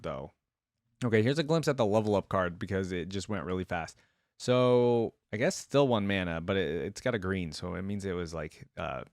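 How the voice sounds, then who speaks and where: A man's voice: fairly low pitch, a little mellow, no echo. A man hosting a casual reaction video, informal and thinking aloud as he comments.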